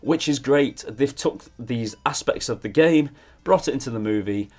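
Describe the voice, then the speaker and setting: Guy doing a film review, lowish voice, measured, emphatic, bit of music behind. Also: darkish tone. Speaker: video essay presenter, film reviewer. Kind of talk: scripted opinion commentary. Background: background music.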